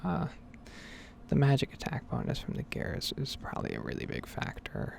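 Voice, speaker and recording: A woman giving whispered and soft-spoken ASMR game commentary in a breathy, rambling, unhurried way. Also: extremely low pitch, huge pitch swings, slight background noise. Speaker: gaming ASMR video creator.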